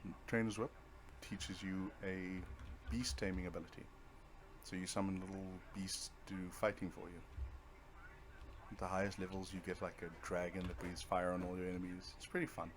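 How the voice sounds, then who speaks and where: Low-voiced man casually commenting on gameplay. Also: flat delivery, moderate pace, noisy background. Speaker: let's play host. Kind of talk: casual video game playthrough commentary.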